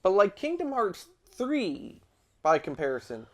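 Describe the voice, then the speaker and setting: A man's voice: high voice, measured pace. A man chatting casually and giving opinions while playing a video game with his wife. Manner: lively, opinionated, rambling. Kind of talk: casual let's-play chat.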